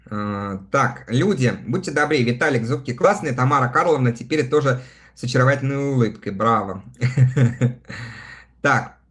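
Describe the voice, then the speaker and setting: A man's voice: mid-range voice, lively intonation, normal speed, a bit bright. A man in his thirties chatting casually on a livestream, reading viewer comments aloud and answering them between laughs. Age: 30s. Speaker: live streamer reading viewer chat.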